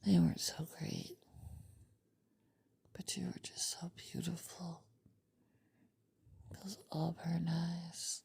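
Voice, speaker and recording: A woman playing a sleepy, adoring girlfriend in an ASMR roleplay, whispering tender, soothing pillow talk in a breathy voice. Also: on the low side, flat delivery, slow pace, pauses often, warm tone, full character voice. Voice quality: breathy, mostly unvoiced whisper.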